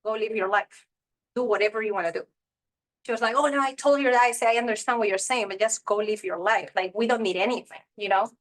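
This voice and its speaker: Woman, 30s, from Spain; candid, chatty interview guest at a medium pitch. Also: fast. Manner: chatty and candid, heavy use of "you know" and "like". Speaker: interview guest, real estate investor. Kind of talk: casual interview chat.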